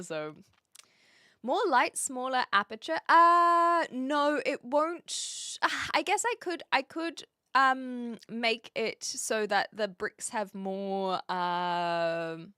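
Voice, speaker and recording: Female streamer from New Zealand, bright, chatty tone, dry close mic, rambling casual talk with viewers. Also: mid-range voice, no room sound. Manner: chatty and rambling, with frequent restarts and fillers. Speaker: live streamer. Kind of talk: casual live-stream chat, answering viewers.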